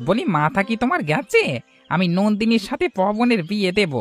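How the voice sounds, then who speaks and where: A woman's voice: mid-range voice, sing-song, a little fast, no echo, close to an everyday voice. A woman acts out a village husband and father for a cartoon. He is a schemer, sly and full of brags. Faint music runs under the voice. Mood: sly, boastful. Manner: crafty, bragging. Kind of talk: cartoon dialogue.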